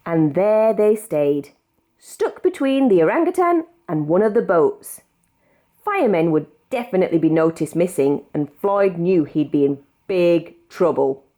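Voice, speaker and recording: A woman with a British accent reading a children's picture book aloud in an expressive, measured storytelling manner. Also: on the low side. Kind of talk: read-aloud storybook narration.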